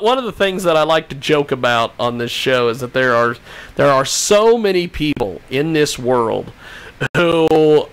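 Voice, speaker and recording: A man's voice: medium pitch, a bit bright, clean tone, low-quality recording. A man hosting a casual comedy talk show in a jokey, self-deprecating manner, repeating phrases for effect. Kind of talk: casual comedic radio chat.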